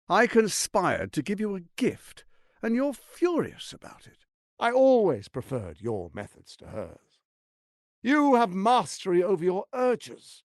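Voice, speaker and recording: A scheming male archduke for a video game, his delivery swinging dramatically from hushed lows to soaring highs. There is a gravelly creak under the silky, pompous menace. The recording is close and dry, every phrase placed with theatrical relish. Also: dry recording, heavily acted. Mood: silky, mocking. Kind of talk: video game character acting.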